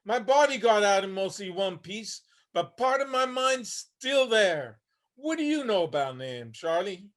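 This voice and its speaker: Guy doing a wry streetwise old homeless vet, pretty high voice, really up and down, tired but blunt. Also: big pitch swings, measured pace. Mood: haunted, weary. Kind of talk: staged reading of a play. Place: remote video-call reading.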